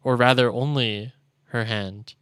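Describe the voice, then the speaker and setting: A male streamer reading visual novel narration aloud in a solemn, deliberate storytelling manner. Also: mid-range voice, fairly even intonation, a bit bright, clear, resonant tone. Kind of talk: dramatic reading of game narration. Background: game music.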